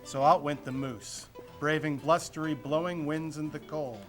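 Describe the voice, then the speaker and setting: A man's voice: fairly high pitch, fairly even intonation, moderate pace. A man reading a rhyming children's story aloud, with music playing behind him. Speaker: storybook reader.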